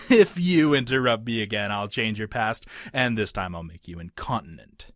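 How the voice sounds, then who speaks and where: A man's voice: lowish voice, expressive, moderate pace, fairly bright tone, no echo, clean studio sound. A man playing a smug, mocking narrator of a cartoon comedy documentary, grandiose and sardonic. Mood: mocking, menacing. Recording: music behind the voice.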